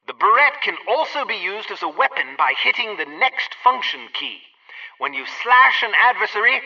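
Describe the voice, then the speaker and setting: A man's voice: sits high, pushed and bright, distant mic, lo-fi sound, exaggerated voice. A man playing a prim, helpful gadget instructor in a spy game, giving formal and precise scripted tutorial instructions. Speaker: training instructor.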